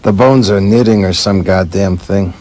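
A man playing a cantankerous, boozy middle-aged screenwriter in a radio play, gruff, profane and combative. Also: low voice, moderate pace, dark tone. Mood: weary, grumbling.

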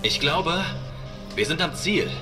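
A man's voice: on the high side, takes it slowly, far from the mic. Man playing a steadfast spaceship captain over music. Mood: resolute, calm. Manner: calm, resolute. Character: steadfast, unruffled male spaceship captain with dry humour. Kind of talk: sci-fi audio drama acting and narration. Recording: clearly audible music behind.

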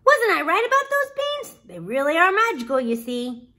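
An earnest young boy from a storybook , acted out by a woman narrator. The voice is high and swings freely in pitch. He is polite and excitable, quick to plead. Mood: proud. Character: hungry, polite, eager young boy adventurer.

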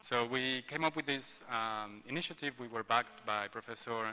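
A man's voice: mid-range voice, normal speed, sharp tone. A man in his forties from Mexico, an industrial designer giving a hesitant panel talk at a conference table mic, with many ums and restarts. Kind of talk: panel presentation. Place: large hotel ballroom roundtable. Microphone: table gooseneck mic. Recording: long hall reverb.

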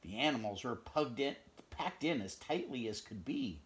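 A middle-aged man reading a picture book aloud at home, expressive, pausing to chat about the pictures and dropping into whispers. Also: mid-range voice. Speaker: read-aloud storyteller. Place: home room, indoors.

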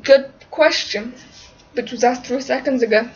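A young English woman with a bright, high voice chats to her webcam, giggly and easily sidetracked. Her Liverpool roots barely show in her accent. The sound is dull and lo-fi, as from a cheap built-in camera mic in a small room. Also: measured pace. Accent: English, little Liverpool. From England, born in Liverpool. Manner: giggly, scatterbrained, talks to her cat in baby talk. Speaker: vlogger answering viewer questions. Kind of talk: casual webcam Q&A chat. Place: small indoor room, seated against a wall. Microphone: webcam at arm's length.

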